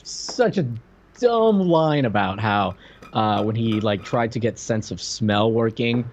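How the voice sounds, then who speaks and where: Guy podcast cohost, lowish voice that swings up and down a lot, casual chat. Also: expressive, takes it slowly, a little mellow, dull audio, slight background noise. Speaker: podcast co-host. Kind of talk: casual chat reviewing an old TV show.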